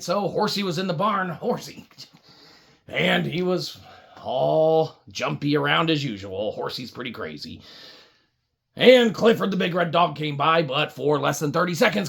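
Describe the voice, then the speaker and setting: A woman with a husky, gravelly voice improvising a children's puppet story, chatting casually to viewers in a mock-weary way. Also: low voice.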